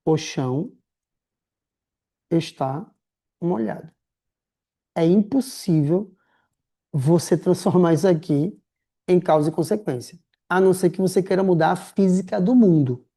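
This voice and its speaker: A man teaching a writing class in a lively, slangy, chatty way, calling his students affectionate names. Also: sits high, vocal fry. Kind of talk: casual recorded lecture.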